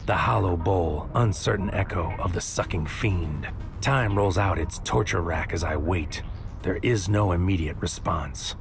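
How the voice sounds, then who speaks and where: Deep male lead for sci-fi audio drama: anxious, introspective, clipped poetic delivery, scored with music. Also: unhurried, gravelly. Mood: brooding, tense. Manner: terse, broody, poetic fragments. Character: anxious, brooding man, troubled agent narrating his own story. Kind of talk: first-person noir audio drama narration.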